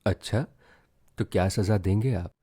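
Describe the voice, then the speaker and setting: A man's voice: on the low side, a little slow, mellow, breathy edge. Man voicing an anxious, devout young village wife. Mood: shy, tender. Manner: fretful, pleading, deferential.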